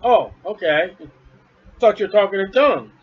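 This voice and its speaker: Blunt, high-voiced male preacher. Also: animated, normal speed, breathy edge, dull audio. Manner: blunt, scornful, emphatic. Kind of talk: home-recorded sermon. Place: at home.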